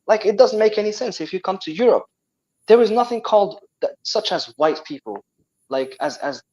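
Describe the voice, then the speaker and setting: Moroccan-accented man, lively and halting. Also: high-pitched. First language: Tamazight. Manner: animated, frequent restarts and repeated words. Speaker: guest. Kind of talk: casual chat.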